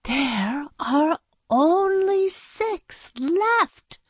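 A woman's voice: high-pitched, big pitch swings, very slow, fairly bright tone, no echo, voice pushed up, heavily acted. A woman reading a storybook aloud, voicing a stern schoolteacher who is exasperated and sometimes drops to a tense whisper. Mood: angry. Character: stern woman schoolteacher fussing over paperclips.

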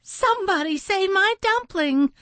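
A woman's voice: high-pitched, a little slow, dry recording, pitched above the performer's own voice. A woman voicing an emotional, tender mother duck in a children's story. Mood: panicked.

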